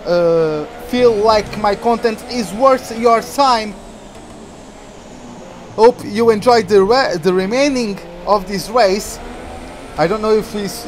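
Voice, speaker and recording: A man from Portugal, streaming a racing game and chatting excitedly through the action. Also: high-pitched, a little hiss or hum. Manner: chatty, reacts excitedly to the race. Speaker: gaming streamer. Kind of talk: live game commentary.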